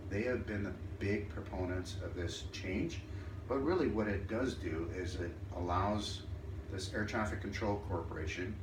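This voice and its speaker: A man delivering a formal persuasive speech in a deliberate way, pausing between short phrases. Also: low-pitched. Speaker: student or presenter giving a speech. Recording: roomy, distant mic, clear background noise.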